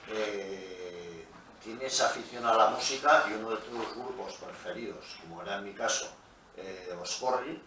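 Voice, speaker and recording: Middle-aged man, roomy distant echo. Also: on the low side. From Pamplona, Spain. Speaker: guest musician. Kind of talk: relaxed interview answers. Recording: roomy, echoey, from a distance, faint noise.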